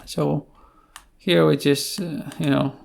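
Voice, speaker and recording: Slow, creaky-voiced man explaining code. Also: a little high, level tone, a little mellow, slight background noise. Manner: hesitant, halting explanations with pauses. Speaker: tutorial presenter. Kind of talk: unscripted screencast coding tutorial.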